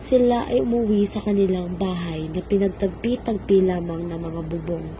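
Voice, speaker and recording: A woman's voice: on the low side, moderate pace. A woman reading scripted narration for a radio drama as its storyteller. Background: music.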